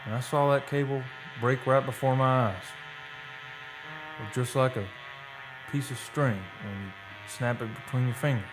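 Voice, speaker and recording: A man's voice: mid-range voice, normal speed, over music, close to an everyday voice, rough recording. A man playing a shaken oil-rig worker in a radio drama, telling an eerie tale in folksy, colloquial speech. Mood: haunted, grim. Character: shaken oil-rig worker recounting eerie deaths, defensive under questioning. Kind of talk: radio drama first-person narration.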